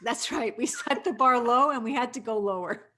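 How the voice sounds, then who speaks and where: High-voiced woman hosting a casual chat. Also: moderate pace, sharp tone. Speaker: host of a cast discussion.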